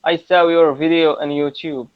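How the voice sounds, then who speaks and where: A man in his twenties with a Moroccan accent, practising English conversation casually in halting learner phrases, often repeating words, over a lo-fi internet call. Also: fairly high pitch, level tone, clean tone, dry recording. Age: 20s. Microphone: video call. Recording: dull lo-fi internet call audio.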